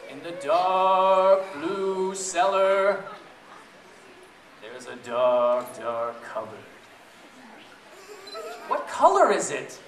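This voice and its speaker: A man reading a picture book aloud to a group of children in a playful, chatty way, pausing to ask them questions. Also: high-pitched, animated, fairly bright tone. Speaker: storybook reader to children. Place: echoey room with an audience of families. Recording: roomy, distant event recording with audience noise.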